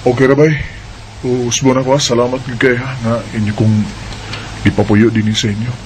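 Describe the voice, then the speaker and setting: A man's voice: medium pitch, flat delivery, normal speed, darkish tone, slight background noise, lightly acted, poor audio. A man voicing a resentful young man who defies his parents in a radio drama. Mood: weary quiet. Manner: bitter, sarcastic.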